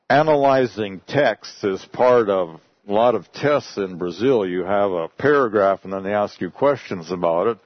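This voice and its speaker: A man's voice: fairly low pitch, no room sound. A man whose first language is English, a missionary telling a congregation about his work in a folksy, conversational storytelling way. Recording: dull lo-fi recording.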